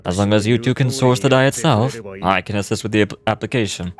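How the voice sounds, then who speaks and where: A man's voice: fairly low pitch, close to an everyday voice. Formal scholarly man acted out by a male reader. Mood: composed instructing. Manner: formal, measured, expository. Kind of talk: reading game quest dialogue aloud. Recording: music playing behind the voice.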